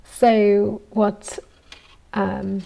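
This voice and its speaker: Woman, young adult, from Italy, native Italian speaker, casual workshop chat, frequent ums and small laughs, slightly gravelly voice. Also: medium pitch, level tone, drawn-out words, dark tone, slight background noise. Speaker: goldsmith streaming from her workshop. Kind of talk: casual live-stream chat while working.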